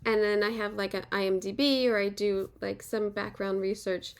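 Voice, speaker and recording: A woman's voice: medium pitch, steady tone, moderate pace, clean tone. A woman hosting a podcast in a chatty, easy way, laughing easily and using many fillers. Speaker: podcast host. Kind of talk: casual interview chat.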